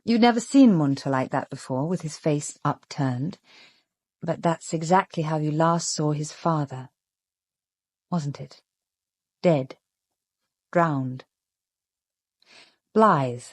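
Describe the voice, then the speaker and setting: A perceptive British woman sleuth, speaks in a slightly low voice with measured, deliberate phrasing. The sound is dry and studio-close, and her manner is calm and probing. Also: no room sound, heavily acted. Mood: quietly probing. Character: perceptive, composed young woman amateur detective. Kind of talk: radio drama acting.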